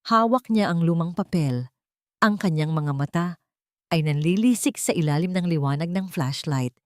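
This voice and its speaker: Female storyteller for a dramatic audio story, low voice, dry close recording. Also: a little mellow, no room sound. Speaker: narrator. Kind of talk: scripted dramatic story narration.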